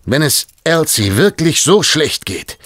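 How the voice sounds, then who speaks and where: An actor in a German audio drama playing a bitter, lovesick and possessive gangster with an intense, defiant manner. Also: male voice, on the high side, big pitch swings, a little slow, a bit bright, no echo, heavily acted. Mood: defiant, scornful.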